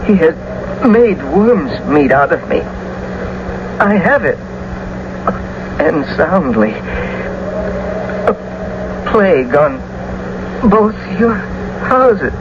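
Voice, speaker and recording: Dying young nobleman, radio play: bitter, mocking, slow delivery, noisy old recording. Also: a little high, big pitch swings, dull audio, full character voice. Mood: bitter, pained. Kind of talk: theatrical radio drama acting.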